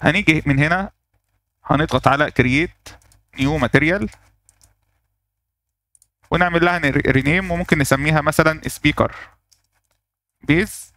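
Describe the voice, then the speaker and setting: A man with an Egyptian Arabic accent walking through a software tutorial step by step, pausing on fillers as he works. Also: fairly high pitch, no room sound. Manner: explains step by step, thinking aloud with fillers. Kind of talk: screen-recorded software tutorial.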